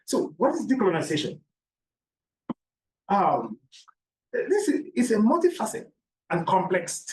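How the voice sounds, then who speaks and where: Guy from cameroon teaching a seminar, slightly high voice, echoey room, mic kinda far off. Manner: explains by repeating key points and asking rhetorical questions. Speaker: academic presenter. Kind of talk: seminar lecture. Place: lecture room. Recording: roomy, from a distance, faint background noise.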